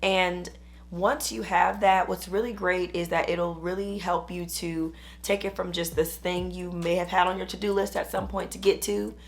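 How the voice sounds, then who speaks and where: A hoarse woman business coach, chatting upbeat to camera. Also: fairly low pitch, little pitch movement, moderate pace. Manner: chatty, upbeat, uses "y'all". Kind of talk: casual talk to camera.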